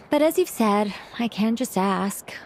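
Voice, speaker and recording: A woman's voice: fairly high pitch, expressive, slow, a little hiss or hum. A woman voicing a proud, needy, whimsical ancient mage in an anime game, theatrical, chatty and self-conscious. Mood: exasperated. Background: music.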